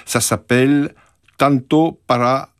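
A man's voice: medium pitch, fairly even intonation, takes it slowly, clean tone, no echo, studio quality. A man hosting a jazz radio interview who asks long questions and often repeats words mid-sentence. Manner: hesitant, repeats words while asking. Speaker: radio host.

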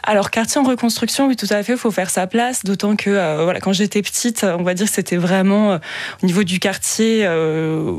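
A woman's voice: medium pitch, brisk, darkish tone, dry recording. A woman in her twenties answering as an interview guest, explaining conversationally and often correcting herself mid-sentence. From Paris western suburbs, France. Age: 20s. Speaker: guest, journalist and author.

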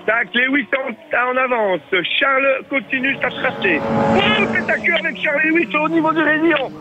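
A man, a radio reporter speaking live in an excitable, playful, exclamatory way. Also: very high-pitched, moderate pace, lo-fi sound, heavy background noise. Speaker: field reporter on a radio show. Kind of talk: excited mock race commentary and live chat.

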